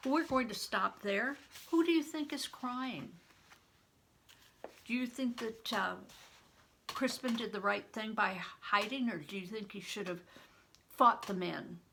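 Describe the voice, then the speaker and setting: An older woman reading a novel aloud as a storyteller for children, with deliberate, dramatic phrasing and stretched emphasis. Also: a little high, gravelly, a bit bright, a little hiss or hum. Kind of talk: expressive read-aloud storytelling.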